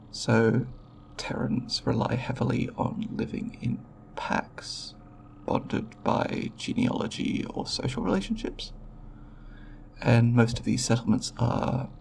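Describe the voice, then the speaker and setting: A timid alien scientist, played by a man, with heavy vocal fry and slow, halting delivery. He is curious, polite and formal, picking his way through scientific wording in an ASMR roleplay. His pitch moves around expressively. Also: a little mellow, a little hiss or hum, full character voice. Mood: incredulous, curious. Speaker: roleplay voice performer.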